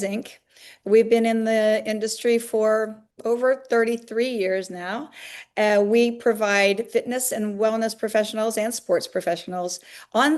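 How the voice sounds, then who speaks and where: An upbeat, talkative woman in her fifties speaks as a guest over a video call. She explains her business with a steady stream of fillers and restarts. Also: mid-range voice. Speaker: guest, fitness company founder. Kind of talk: webinar interview.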